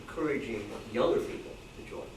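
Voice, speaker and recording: A man's voice: medium pitch, fairly quick. A man in the audience informally putting a question to a panel, choosing his words tentatively, recorded at a distance in an echoey, noisy room. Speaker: audience member asking a question. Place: club meeting room, away from the mic.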